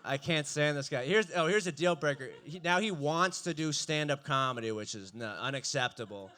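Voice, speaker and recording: A young man doing a stand-up comedy set, with a dry, deadpan delivery that breaks into laughs. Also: a little high, moderate pace.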